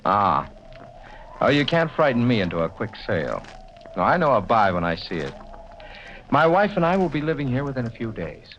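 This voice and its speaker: Animated, brisk man in old radio drama. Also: medium pitch. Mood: confident. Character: brisk, stubborn, excitable male house buyer. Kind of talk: 1940s radio acting. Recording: noisy, dull old radio recording.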